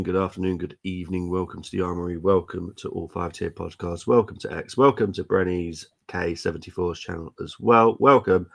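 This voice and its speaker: A deep-voiced man hosts a football stream in casual chat. He sounds congested from a cold and talks bluntly, with opinionated, jokey grumbling. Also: gravelly. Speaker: football podcast host.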